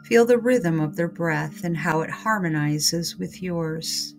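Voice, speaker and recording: Female meditation guide, slightly low voice, very unhurried scripted read, soothing and calm. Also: over music.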